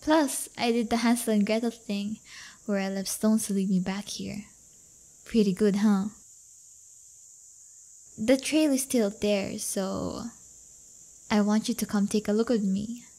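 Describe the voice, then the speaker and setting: A woman's voice: mid-range voice, fairly even intonation, measured pace, long pauses, dark tone, close to an everyday voice. A woman playing a brash, playful teenage delinquent girlfriend in an intimate audio roleplay, with slangy, teasing, casual talk. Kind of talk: audio roleplay acting, intimate one-sided dialogue.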